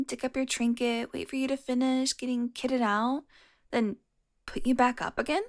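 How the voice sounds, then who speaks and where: A long-lived female vampire, acted by a woman, speaks in a lightly high-pitched voice. She is sardonic and foul-mouthed, with a flirtatious, teasing edge. The delivery is an intimate audio roleplay monologue aimed straight at the listener. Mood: menacing, exasperated. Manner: sardonic, teasing, breathy asides.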